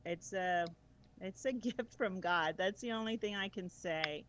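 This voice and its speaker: A woman's voice: mid-range voice, a little slow, noisy background. A woman guest chatting casually about tutoring, enthusiastic, laughing often and using many fillers. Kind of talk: casual conversational chat.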